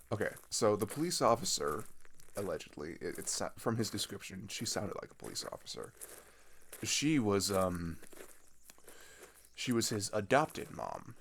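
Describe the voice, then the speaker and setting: A young man playing a chatty, excitable teenage runaway in an ASMR audio roleplay, rambling and halting in casual slang as he tells road stories. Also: on the low side, gravelly, slight background noise. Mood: subdued, reflective. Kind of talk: improvised-sounding ASMR audio roleplay monologue.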